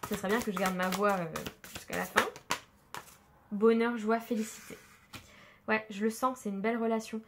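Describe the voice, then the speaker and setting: A woman with a slightly high voice reads tarot cards in a casual, chatty way. She hesitates often and fills gaps with small sounds. Her nose is stuffed up from a cold. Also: fairly even intonation, moderate pace, long pauses, very noisy. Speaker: tarot card reader. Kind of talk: casual tarot reading to camera.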